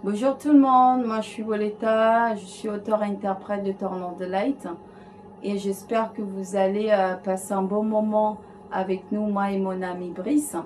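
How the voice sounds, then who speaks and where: A woman's voice: mid-range voice, flat delivery, unhurried, a little mellow, a little hiss or hum. A woman from Guyana, English her first language, speaking French with a Caribbean English accent, a singer answering interview questions hesitantly with many fillers and restarts. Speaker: singer-songwriter guest. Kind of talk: studio interview.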